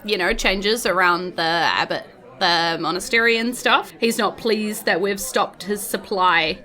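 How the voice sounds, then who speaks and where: A woman with a New Zealand accent runs a tabletop game as game master. Her voice is bright and crisp-edged at a middling pitch, and she narrates in a casual, conversational style. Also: unhurried.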